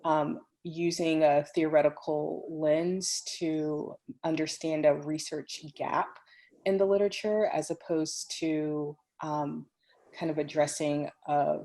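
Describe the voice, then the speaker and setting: Low-pitched woman on a webinar call, lots of ums, careful explaining. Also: dark tone. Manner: frequent ums, measured explanatory. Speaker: program faculty answering questions. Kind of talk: webinar Q&A answers. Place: home office on a video call. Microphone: computer microphone.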